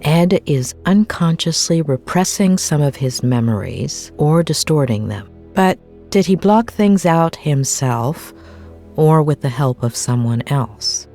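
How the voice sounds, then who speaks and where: An actress playing a composed, weary therapist, probing and guarded, in a naturalistic video game performance. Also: female voice, low voice, animated, slow pace, dark tone, faint music behind, heavily acted. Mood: pensive.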